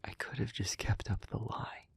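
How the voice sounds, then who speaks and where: A man playing an earnest, guilt-ridden demon in love, speaking in a hushed, breathy, halting voice in an intimate audio roleplay. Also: close to an everyday voice. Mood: pleading, earnest. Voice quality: breathy, husky, whispery. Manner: slow, halting, hushed delivery with pauses.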